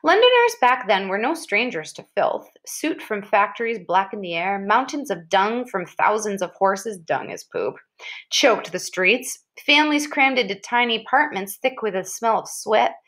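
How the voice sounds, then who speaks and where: A woman's voice: mid-range voice, sing-song, normal speed, fairly bright tone. A woman reading an informational article aloud expressively, like a teacher, leaning on and drawing out key descriptive words.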